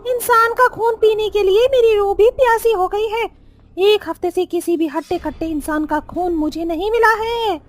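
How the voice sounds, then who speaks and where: A woman's voice: very high voice, moderate pace. An actress playing a sly, demanding, bloodthirsty witch wife in a Hindi horror cartoon, with theatrical delivery. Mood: petulant, thirsty.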